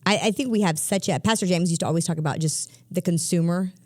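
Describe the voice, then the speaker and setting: A woman pastor talking casually on a church podcast, chatty and quick to laugh. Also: on the low side, a little mellow, no echo, studio quality.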